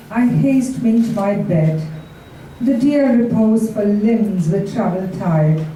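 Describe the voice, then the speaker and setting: A woman reciting Shakespearean sonnets as a poetry reader, in a measured, deliberate delivery. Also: mid-range voice, mellow. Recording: very echoey, distant, faintly noisy live recording.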